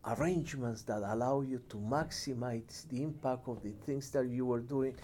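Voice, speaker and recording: A middle-aged man from Ecuador with a Spanish accent, giving reflective interview answers in a measured, halting, earnest way. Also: mid-range voice, warm tone, a little hiss or hum. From Cuenca, Ecuador. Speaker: international development professional, interviewee.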